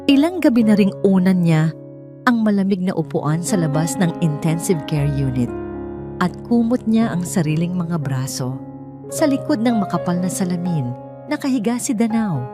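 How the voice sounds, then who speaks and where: A woman narrating a scripted dramatic story in a solemn, emotive manner. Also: on the low side.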